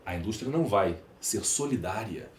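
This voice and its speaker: Low-voiced Brazilian man, echoey room. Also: expressive, moderate pace. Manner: emphatic, rhetorical, speaks in lists and questions. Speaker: podcast guest, entrepreneur. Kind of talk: conversational interview monologue. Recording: echoey room, faint background noise.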